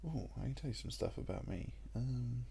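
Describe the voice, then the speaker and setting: A young Australian man with a croaky voice, chatting casually and rambling with lots of ums while he plays a puzzle game. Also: medium pitch, moderate pace, slight background noise. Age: young adult. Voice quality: croaky, gravelly voice. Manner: rambling and relaxed, with many ums. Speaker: gaming YouTuber. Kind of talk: casual let's-play commentary.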